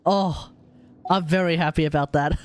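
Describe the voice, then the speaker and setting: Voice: mid-range voice, steady tone, moderate pace. A game streamer giving casual, informal and reactive live commentary in a chatty way. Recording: game music behind the voice.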